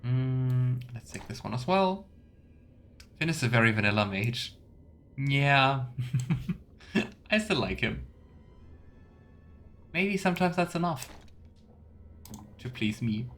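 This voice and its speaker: A man's voice: medium pitch, expressive, slow, pauses often, fairly bright tone, a little hiss or hum. A man giving casual let's play commentary, thinking aloud in loose fragments. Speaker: game streamer. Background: faint game music.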